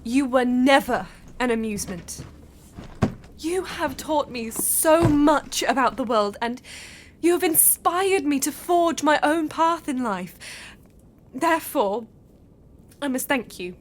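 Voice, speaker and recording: Woman acting genteel period heroine, slow and measured, slightly high voice, earnest, formal, radio drama. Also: animated, slight background noise. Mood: apologetic, nervous. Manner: formal, carefully enunciated, heartfelt. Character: earnest, genteel young Englishwoman of 1910s, romantic, independent-minded.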